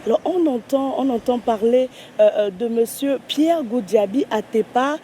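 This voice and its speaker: Woman interviewer, mellow voice, polite formal questions, faint noise behind. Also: a little high, normal speed.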